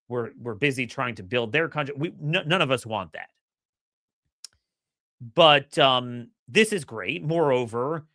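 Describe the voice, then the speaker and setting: A middle-aged man with a slightly hoarse voice talks through his opinions in an energetic, forceful way, often stumbling over his first words. His voice is bright and pressing. The recording is dry and close, with no room sound. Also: medium pitch, no room sound. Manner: energetic, opinionated, repeats words when starting sentences. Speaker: podcast host. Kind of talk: solo political commentary.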